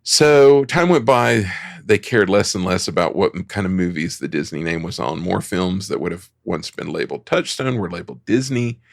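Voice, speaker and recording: Low creaky guy doing a casual history rundown on a podcast, wry asides. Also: expressive, normal speed, hardly any pauses, dry recording, clean studio sound. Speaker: podcast panelist. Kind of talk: informal history presentation.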